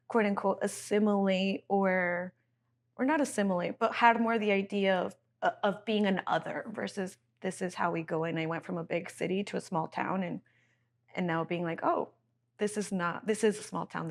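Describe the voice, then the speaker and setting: A woman from Mexico talks on a podcast as an interview guest, in a medium-pitched, easygoing voice. She rambles a little with fillers and slips in the odd phrase in Spanish. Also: fairly even intonation, normal speed. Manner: chatty, many fillers, switches into Spanish phrases. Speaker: chamber executive director, guest.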